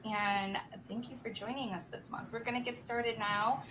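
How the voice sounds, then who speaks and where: A woman's voice: fairly high pitch, steady tone, moderate pace, heavy background noise. A woman hosting a live-stream chat show while sick, her voice strained, chatty and upbeat with many ums as she greets viewers by name. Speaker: show host, marketing director. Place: company studio with a control room.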